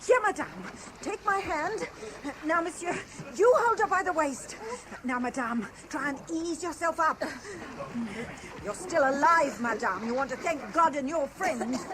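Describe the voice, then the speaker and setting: An actress playing a kindly, bustling, excitable café woman in a 1970s radio drama. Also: high-pitched, unhurried. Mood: urgent, encouraging. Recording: noisy old 1970s radio broadcast.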